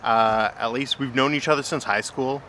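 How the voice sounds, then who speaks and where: A man hosts a relaxed, informal interview in a bright, middle-pitched voice. He talks conversationally as he asks and explains. City ambience is clearly audible around him outdoors. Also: moderate pace, slight background noise. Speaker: vlog host interviewing his writing partner. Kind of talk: casual chat interview.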